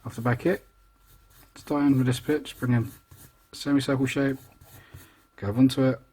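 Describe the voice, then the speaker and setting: Husky guy chatting through a sketch step by step, mid pitch. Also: steady tone, moderate pace, a little mellow. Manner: chatty, self-deprecating, talks through each step. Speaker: drawing tutor. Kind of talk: casual real-time drawing tutorial. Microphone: voice over the drawing, not seen speaking.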